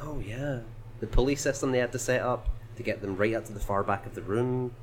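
A man co-hosting a casual games podcast, dry and rambling, riffing deadpan. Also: medium pitch, fairly quick, a little hiss or hum. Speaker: podcast co-host.

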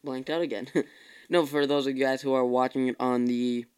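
A man's voice: mid-range voice, flat delivery, brisk, a little mellow, clear, resonant tone. A tired young man hosting his own podcast, chatting casually in a rambling way and often correcting himself. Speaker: podcast host. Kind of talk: casual solo podcast chat.

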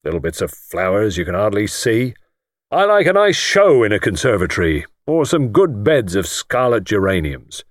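A man's voice: fairly low pitch, big pitch swings, moderate pace, no room sound, clean studio sound. A man voicing a pompous, boastful self-made older lord who talks in a blustering, self-satisfied way.

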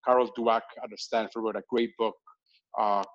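A man from Colombia with a lowish voice speaks haltingly, full of "uh"s and restarts. He tells his story as an interview guest over a call. The sound is dull and lo-fi. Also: level tone. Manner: halting, many fillers and restarts. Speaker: guest entrepreneur. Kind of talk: casual interview on a video call.

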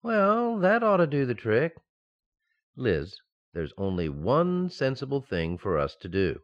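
A man's voice: medium pitch, huge pitch swings, measured pace. A man playing a sensible, exasperated banker husband in an old-time radio sitcom, mock-stern and explaining things patiently, in slightly muffled sound.

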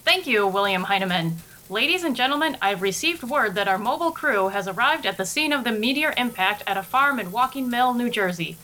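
Composed studio newsreader, forceful, higher-pitched voice, 1930s broadcast manner. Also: female voice, lively intonation, fairly quick, few pauses, rough recording. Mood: formal, composed. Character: brisk, formal female radio studio announcer. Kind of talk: 1930s radio announcer acting.

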